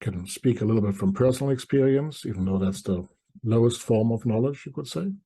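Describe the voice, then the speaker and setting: A middle-aged man from East Germany, giving reflective spoken commentary to viewers in deliberate short phrases with pauses. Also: medium pitch, mellow, slightly rough. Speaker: commentator presenting a video essay.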